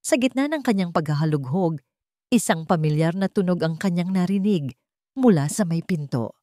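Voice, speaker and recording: A woman reads a dramatic story aloud in a calm, measured voice pitched on the low side. The sound is dry and close, with no room around it. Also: no room sound. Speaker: narrator. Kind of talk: scripted storybook narration.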